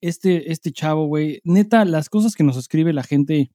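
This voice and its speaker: A man's voice: on the high side, unhurried, mellow, no room sound, studio quality. A man with a Mexican accent co-hosting a casual podcast, talking in loose, sweary slang as he chats and reads listener mail. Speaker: podcast co-host.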